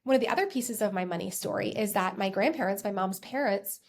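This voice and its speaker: American woman, 30s, podcast host talking candidly about herself, conversational and reflective, medium pitch. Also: fast, gravelly, a little mellow. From United States. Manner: conversational, self-reflective, emphatic repetitions. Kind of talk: candid personal storytelling on a podcast.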